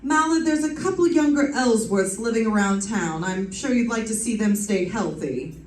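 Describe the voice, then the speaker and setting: A woman playing a brisk, bossy, unflappable female private detective in an old-time radio drama, with wry hardboiled narration and commanding dialogue. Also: mid-range voice, lively intonation, a little mellow, lightly acted. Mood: brisk, assured. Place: live stage performance, recorded from a distance. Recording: roomy, distant stage pickup with faint noise.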